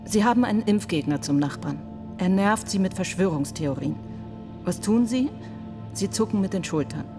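A woman acting in a radio play as a sharp, indignant defence lawyer pleading in court, with a pointed, rhetorical manner. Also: mid-range voice, animated, moderate pace, lightly acted. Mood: challenging. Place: studio. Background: music.

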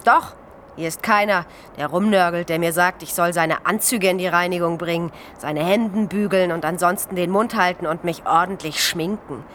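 A woman's voice: on the low side, normal speed, fairly bright tone, natural voice. An actress playing a brash, streetwise homeless woman, colloquial and urging, in a German radio crime drama. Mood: bitter. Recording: noticeable background noise.

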